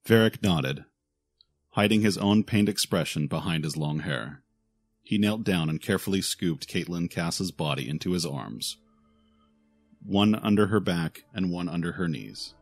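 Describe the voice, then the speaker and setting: A man narrating a scripted fantasy audio drama in a solemn, deliberate way. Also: sits low, expressive. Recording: faint music behind the voice.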